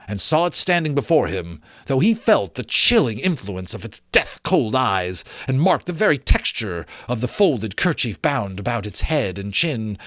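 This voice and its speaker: A man reading a classic story aloud as dramatic narration, theatrical and deliberate, building suspense. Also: mid-range voice, a bit bright, no room sound, clean studio sound. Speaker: amateur audiobook narrator.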